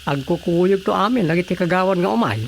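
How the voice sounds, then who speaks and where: A man's voice: high-pitched, fast talker, vocal fry, a little hiss or hum. A man with an Ilocano accent playing a flustered, easily startled village councilman in a Filipino radio comedy. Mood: puzzled, chatty.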